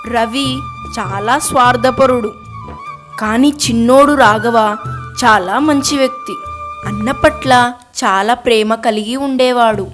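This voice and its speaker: Female narrator for children's moral tales, slow and fairly high, music beneath. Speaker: storyteller. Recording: music under the voice.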